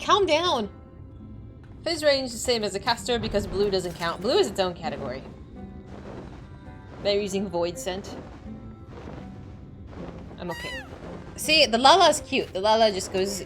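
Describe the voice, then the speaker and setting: A young woman streaming a game, chatting excitedly with a slightly squeaky voice, over faint game music. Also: measured pace, long pauses. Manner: excitable, chatty, laughing often. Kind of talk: casual chat while playing a game.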